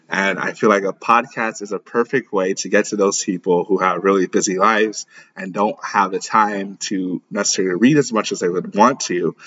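Podcast host, male, 30s, low-pitched, informal and encouraging solo talk, dull lo-fi recording. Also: a bit bright. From born in Lagos, Nigeria; grew up in St. Louis, USA. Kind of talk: casual solo podcast introduction. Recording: dull, muffled lo-fi podcast audio.

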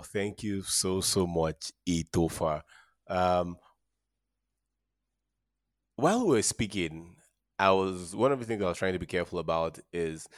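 A man's voice: low-pitched, dry recording, studio quality. A man hosting a podcast, putting questions to his guest in a conversational way. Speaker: podcast host. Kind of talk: conversational interviewing.

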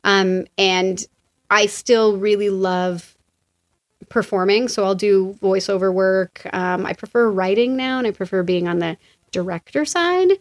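An American woman, an associate principal and former actor, telling stories as an interview guest in quick, clear speech. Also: medium pitch. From United States. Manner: lively storyteller who acts out other people's lines. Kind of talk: casual conversational interview.

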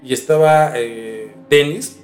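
A man's voice: mid-range voice, takes it slowly, soft background music. A young Mexican man telling a story as a podcast host in a casual, slangy conversational way. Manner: informal, slangy.

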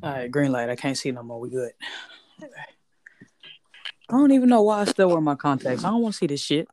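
Very low-voiced blunt woman. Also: sing-song, fast, darkish tone, a little hiss or hum. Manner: blunt, slangy, joking. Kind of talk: casual chat.

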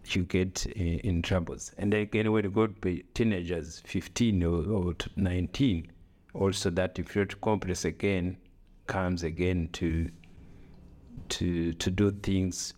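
A middle-aged man from Africa talking in casual conversation, earnest and halting, with grammar and repeated phrases. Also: sits low. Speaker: podcast co-host, father.

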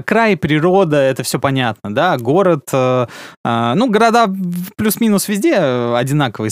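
A man's voice: medium pitch, no echo, clean studio sound. A man hosting a casual interview, asking questions in a playful, inquisitive way. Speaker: host.

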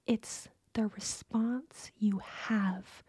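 A woman co-hosting a casual podcast in a soft, breathy, often whispered ASMR voice, playful and deliberately soothing, close on the mic. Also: mid-range voice, steady tone, dry recording. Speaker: podcast co-host.

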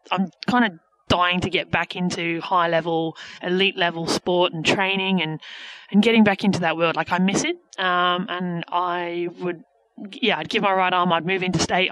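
A woman's voice: on the low side, a bit bright, poor audio. Brief: twenties English woman, Australian traces in her accent, frank casual answers. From England. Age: 20s. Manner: chatty, frank, many fillers and restarts. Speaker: interviewee footballer.

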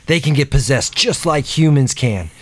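A man playing a haunted, secretive character who gives halting, grave warnings about demons in a comic horror roleplay. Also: medium pitch, expressive, background noise, lightly acted. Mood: grave, evasive.

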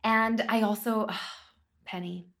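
A woman's voice: mid-range voice, steady tone, takes it slowly, gravelly, a bit bright. Chatty rambling woman in her thirties. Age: 30s. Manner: rambling, hesitant, lots of fillers. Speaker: podcast co-host. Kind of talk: casual chat.

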